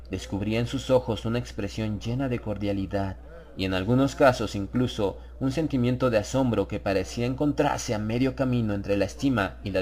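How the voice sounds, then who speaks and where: A man narrating a horror audiobook from a script, in a measured, literary storytelling manner. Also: lowish voice.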